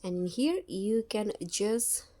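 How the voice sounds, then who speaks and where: Female tutorial voice-over: dark, relaxed tone, mid-range pitch, slightly halting instructional delivery. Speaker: tutorial presenter. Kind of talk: step-by-step screen tutorial voice-over.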